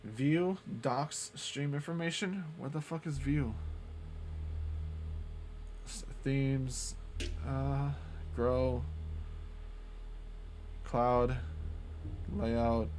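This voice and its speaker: A man's voice: mid-range voice, fairly even intonation, very slow, pauses often, darkish tone, slight background noise, poor audio. A man streaming live, chatting casually and rambling, swearing often and restarting his sentences.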